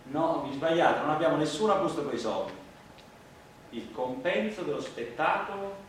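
A man's voice: a little high, lively intonation, lightly acted. Flustered street actor played by a man, very echoey. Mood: flustered, protesting. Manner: repetitive, halting, insistent. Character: flustered, naive street actor protesting his innocence. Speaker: stage actor. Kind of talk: farcical stage dialogue. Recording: roomy, noisy, echoing distant stage recording.

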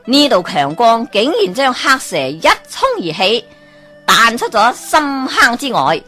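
Folk tale narrator, woman, slow delivery, teasing questions to the listener. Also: fairly high pitch, soft background music. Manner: lively exclamations, asks the listener questions. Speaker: storyteller.

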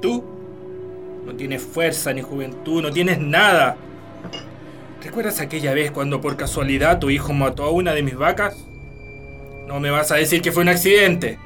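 A man's voice: on the high side, normal speed, long pauses, over music, natural voice. A man with a Chilean accent playing a harsh, domineering landowner in a radio drama, commanding and threatening. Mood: menacing, bitter.

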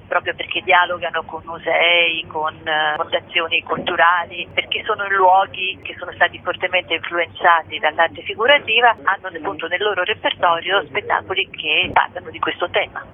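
A woman explaining her work as an interview guest, speaking over a telephone. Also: fairly low pitch. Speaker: festival curator, interview guest. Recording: thin phone line, faint noise.

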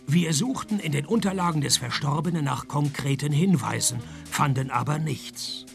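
A man playing a laconic, deadpan private detective who also narrates a radio play, in a husky voice. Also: on the high side, normal speed, soft background music. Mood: laconic narration. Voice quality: husky, gravelly. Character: male private detective, dry, unimpressed, probing, also the narrator. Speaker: lead actor and narrator. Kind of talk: scripted radio detective comedy.

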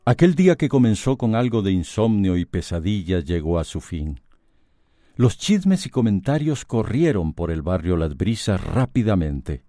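A man's voice: low-pitched, sing-song, normal speed, warm tone, dry recording, studio quality. A man narrating a scripted radio drama.

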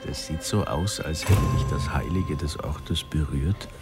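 An actor in a radio play playing a learned, sceptical, fatherly older man with a dry, unhurried way of explaining. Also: extremely low pitch, vocal fry, over loud music, full character voice. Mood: wry, sceptical.

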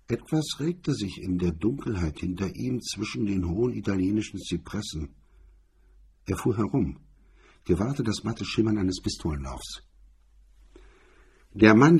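A man with a husky, raspy voice reading a hardboiled crime story as a narrator in a measured, literary storytelling style. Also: deep voice, a little mellow.

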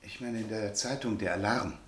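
Shy polite scholar guy, played by a man, lowish voice, stammery. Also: a little fast, fairly bright tone, slight background noise, lightly acted. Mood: flustered. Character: polite, hesitant male scholar, flustered. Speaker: scholarly author visiting the newspaper.

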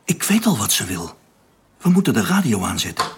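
A man's voice: on the low side, very animated, brisk, husky, full character voice. An actor playing an eager, cheeky spaceship crewman in a radio drama, colloquial and impulsive. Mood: excited.

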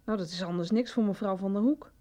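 An actress playing a discreet executive secretary in a radio soap, speaking in a courteous, careful, businesslike way. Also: female voice, mid-range voice, fast talker, mellow, close to an everyday voice. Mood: polite, guarded.

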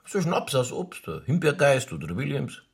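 A raspy Bavarian man, bossy and blunt. He speaks colloquially with an animated, varied melody, handing out orders like someone used to being obeyed. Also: medium pitch, normal speed, exaggerated voice. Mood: curious. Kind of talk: German radio crime drama acting.

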